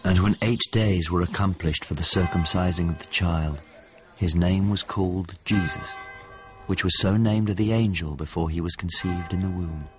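An actor playing a reflective narrator in a radio drama, telling memories in solemn scripted prose. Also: male voice, sits low, normal speed, dull audio, music in the background, natural voice. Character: reflective male narrator recounting strange memories.

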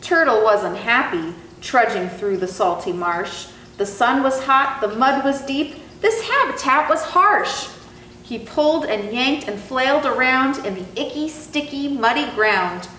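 High, animated young woman reading a storybook. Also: recorded from a distance. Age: young adult. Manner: deliberate, sing-song. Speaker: zoo educator and storytime host. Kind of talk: expressive children's storybook reading. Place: indoor room, reading through a face mask. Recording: roomy sound with faint background noise.

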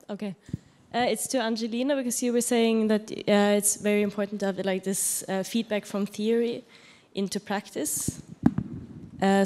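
A woman's voice: mid-range voice, dark tone, long reverb tail. A woman putting an informal question to a panel from an auditorium audience.

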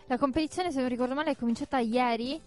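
A woman's voice: high-pitched, rapid-fire. A woman hosting a live radio interview. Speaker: radio host. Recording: music bed under voice. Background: background music.

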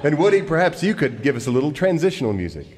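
Earnest cowboy ranger hero, old radio western spoof, highish voice, reverby room. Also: male voice, sing-song, quick pace, over loud music. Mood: folksy storytelling. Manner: wholesome, theatrical delivery. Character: earnest, stalwart good-natured male cowboy ranger hero. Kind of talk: parody of old-time radio western serial.

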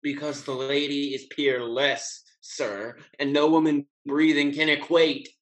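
Man acting a merry, grandiloquent young rake, highish voice. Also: level tone, a little slow, close to an everyday voice, low-quality recording. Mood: gallant. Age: young adult. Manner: grandiloquent, declamatory. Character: merry, hard-drinking young rakish gentleman in love. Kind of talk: theatrical classical stage comedy acting. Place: home room, video call.

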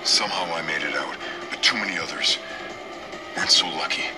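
A man's voice: low voice, brisk, over music, close to an everyday voice. An actor playing a tough, determined male agent in video game dialogue. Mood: grim.

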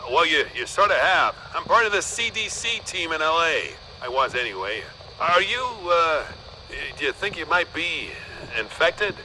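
An actor playing an anxious, eager government doctor speaking over a radio in a video game, halting and urgent. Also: male voice, on the high side, very animated, bright, forceful tone, slight background noise, full character voice, rough recording. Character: anxious, eager male government doctor seeking immune survivors. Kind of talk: video game cutscene acting.

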